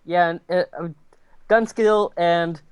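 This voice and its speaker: A man's voice: sits high, fairly even intonation, slow pace, smooth, no room sound. A man playing a tabletop game, chatting casually and hesitantly as he works out what to do. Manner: hesitant, unsure, fumbling for decisions.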